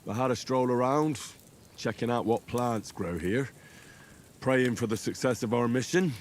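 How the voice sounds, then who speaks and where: An actor playing an earnest, plain-spoken young smith's son turned soldier in a medieval game. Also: male voice, mid-range voice, moderate pace, a little hiss or hum, lightly acted. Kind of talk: video game cutscene acting.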